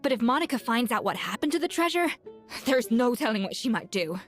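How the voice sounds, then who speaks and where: A high-voiced, bossy young game heroine. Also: female voice, big pitch swings, a little fast, over music. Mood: urgent, explaining. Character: sharp-minded, bossy, exasperated young heroine. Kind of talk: video game voice acting.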